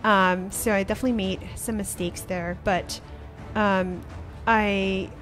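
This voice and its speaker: A woman in her thirties from Malaysia, a startup founder answering interview questions reflectively with many pauses and restarts, speaking into a desk podcast microphone in a small studio. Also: medium pitch, steady tone, fairly bright tone, soft background music. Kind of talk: conversational interview. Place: small studio with wooden wall.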